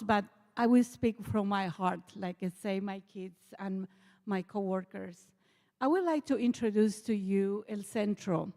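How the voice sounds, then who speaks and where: A middle-aged Bolivian woman speaks in careful, halting English with a clear Spanish accent. Her voice is mellow and soft-edged. The large church hall around her rings with a long reverb. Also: medium pitch. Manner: earnest, halting non-native English. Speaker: nonprofit executive director. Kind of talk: speech to a church assembly.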